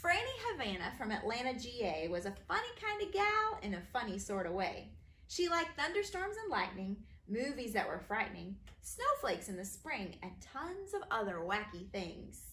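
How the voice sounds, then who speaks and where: A woman teacher reading children's stories aloud in an expressive, sing-song way for young listeners. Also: a little high, moderate pace. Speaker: kindergarten teacher reading her own stories. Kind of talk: animated children's storybook reading. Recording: roomy echo, faint background noise.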